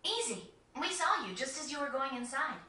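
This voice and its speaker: A woman voicing a gentle, polite, curious young girl in a video game. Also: high-pitched, big pitch swings, normal speed, exaggerated voice. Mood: friendly. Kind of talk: video game cutscene voice acting. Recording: echoey, slightly distant game audio.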